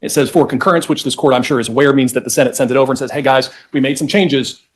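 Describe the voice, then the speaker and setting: A man's voice: medium pitch, fast talker, slight background noise. Man arguing as a lawyer in court. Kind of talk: oral argument walking a judge through legislative history.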